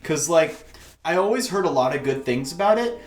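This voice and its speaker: Young guy hosting a chat podcast, cussing and excitable, lots of echo from an empty room. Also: a little high, fairly quick, faint music behind. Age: 20s. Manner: swearing, excitable, laughs often. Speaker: podcast host. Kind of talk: casual chat. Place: large empty echoey room.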